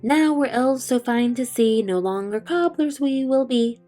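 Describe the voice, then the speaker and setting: A woman's voice: a little high, unhurried, warm tone, faint music behind, pitched above the performer's own voice, lightly acted. A woman voicing two tiny cheerful elves who sing their lines in a storybook. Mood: gleeful.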